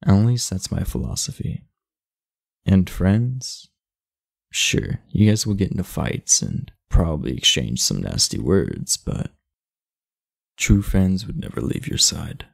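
A man acts out a big, aloof class delinquent in an intimate ASMR roleplay. His voice is low and husky with heavy fry, and it moves widely in pitch. He talks bluntly and confidingly, laid-back but secretly earnest. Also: big pitch swings, slow, full character voice. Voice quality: gravelly, husky.

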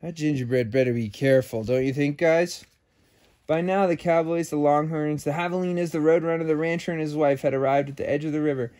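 A man's voice: on the high side, steady tone, a little fast, a little mellow. A man hosting children's story time, reading a picture book expressively with casual asides.

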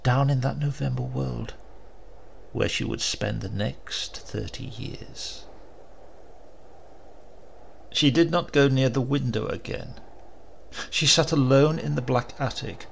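A man narrating a scripted story as an audiobook reader, with deliberate, theatrical phrasing. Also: mid-range voice, creaky, a little hiss or hum.

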